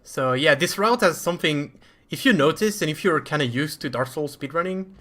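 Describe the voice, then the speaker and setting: A man's voice: a little high, lively intonation, moderate pace, sharp tone. Chatty man with a French accent. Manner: chatty, self-deprecating, laughs often. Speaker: speedrunner. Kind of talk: live commentary over own gameplay.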